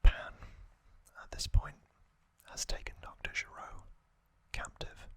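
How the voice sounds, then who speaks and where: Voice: slow pace, fairly bright tone. The kind of voice on a late-night ASMR channel for falling asleep, whispering a story unhurriedly with little hesitant asides. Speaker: ASMR host.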